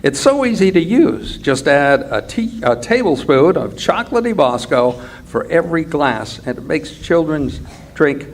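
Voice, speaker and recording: A man reading old-time radio commercials as an announcer, in a measured promotional delivery. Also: on the high side, big pitch swings. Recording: live stage with faint noise.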